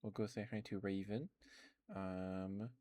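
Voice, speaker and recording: A man streaming ASMR, talking softly and reassuringly to his chat into a close microphone. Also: low voice, little pitch movement, takes it slowly, no echo. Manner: soft, gentle, reassuring. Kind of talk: whispered ASMR affirmations and casual stream chat. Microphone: close ASMR microphone with headphones.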